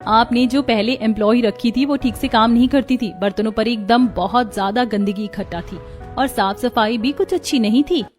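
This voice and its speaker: A woman voicing an earnest, warm young daughter-in-law in a cartoon family drama. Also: fairly high pitch, moderate pace, soft background music, natural voice. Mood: grateful. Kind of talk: Hindi cartoon dubbing.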